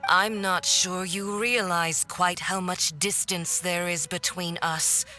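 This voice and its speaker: A woman's voice: on the low side, fairly even intonation, takes it slowly, bright, forceful tone, faint music behind. A woman playing a haughty, mocking villainous diplomat in a video game, with a condescending, theatrical drawl. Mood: coldly threatening. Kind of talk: video game cutscene voice acting.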